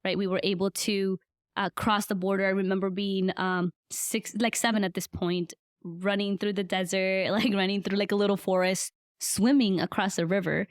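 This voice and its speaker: A woman's voice: mid-range voice, steady tone, normal speed, no echo, studio quality. A woman in her twenties from Guatemala, a podcast guest telling her life story in an earnest, reflective way, with frequent fillers. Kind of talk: conversational life-story interview.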